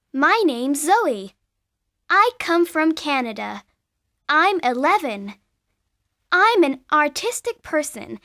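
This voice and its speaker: A bright, sporty eleven-year-old girl introduces herself for a classroom recording. Her voice is high and swoops up and down, with unhurried, careful delivery. The studio sound is close and dry. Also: no echo, heavily acted. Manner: slow, clear, careful delivery. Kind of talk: scripted coursebook audio.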